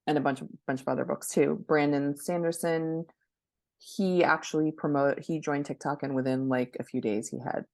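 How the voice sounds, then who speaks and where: Low-voiced woman, close dry sound. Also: a little mellow, no echo. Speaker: guest expert, book marketing professional. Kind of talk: conversational interview answers.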